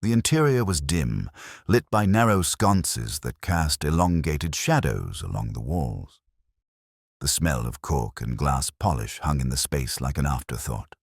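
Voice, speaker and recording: An extremely deep male voice narrates a first-person mystery in measured, literary phrasing. The delivery is brooding yet moves through an expressive range of pitch. The sound is dry, with no room around it. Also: dry recording. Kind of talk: scripted first-person detective story narration.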